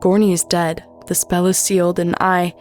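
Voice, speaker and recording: Actress as vengeful she-devil for audio drama: lower female register, commanding, feral menace under cool sorrow, music underneath. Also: flat delivery, moderate pace, darkish tone, natural voice. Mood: cold regret. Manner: hissing, commanding, theatrical. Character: vengeful demon woman, the devil's daughter, feral yet coolly sorrowful.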